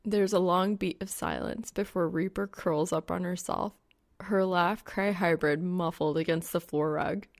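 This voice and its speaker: A woman with a fry-edged voice reading scripted fiction narration as an audiobook narrator. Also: lowish voice, fairly even intonation, a little slow.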